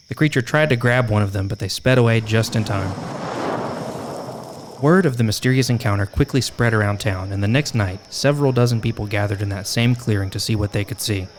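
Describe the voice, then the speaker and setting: Low-voiced man narrating a wry podcast. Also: slight background noise. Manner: wry, tongue-in-cheek. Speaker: podcast host.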